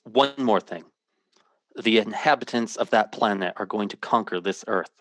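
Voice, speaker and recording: An earnest, troubled man in an old-time radio drama , played by a male actor. His voice sits a little low and is recorded dry. He speaks with serious intensity. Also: moderate pace, no room sound, close to an everyday voice. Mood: guarded, earnest. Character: earnest, troubled man telling a fantastic story.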